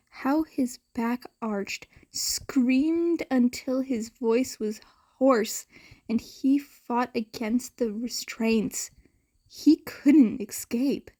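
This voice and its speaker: A woman narrates a story from a script in a fairly high voice. She reads at a slow, measured pace, and her tone is soft-edged and mellow. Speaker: fan fiction narrator.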